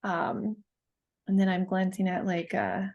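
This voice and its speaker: A woman poet talking in a casual interview, thoughtful and halting, with many fillers and restarts. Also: mid-range voice, little pitch movement, a little mellow. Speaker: guest poet.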